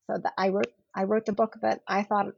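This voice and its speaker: A middle-aged woman whose first language is German, speaking as a political scientist guest on a podcast in a thoughtful, measured way. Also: mid-range voice, little pitch movement, creaky, a little mellow, a little hiss or hum. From India. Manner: thoughtful, measured, often rephrasing. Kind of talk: podcast interview. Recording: dull lo-fi podcast audio.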